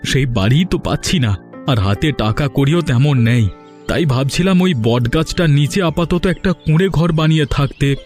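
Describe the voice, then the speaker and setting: A man's voice: on the high side, expressive, normal speed, a little mellow, soft background music, close to an everyday voice. A man voicing a humble, hopeful poor village father in a story cartoon, earnest and deferential. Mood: humble pleading. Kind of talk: Bengali moral story cartoon dubbing.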